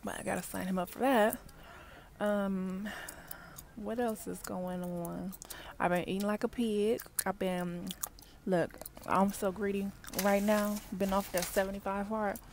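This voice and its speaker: A woman, chatting casually in a parked car for an ASMR video, often dropping to soft whispers, recorded on a wired earbud mic. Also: mid-range voice, steady tone, unhurried, vocal fry. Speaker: ASMR vlogger. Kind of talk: ASMR gum-chewing car chat. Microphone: wired earbud mic held near mouth. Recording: faint background noise, mouth clicks.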